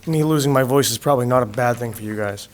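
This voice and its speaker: A man presenting an application as an attorney to a town board, measured and methodical, his voice hoarse from a cold. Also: medium pitch. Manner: measured, methodical, with frequent "uh" fillers. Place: town meeting room. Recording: noisy public-access meeting recording.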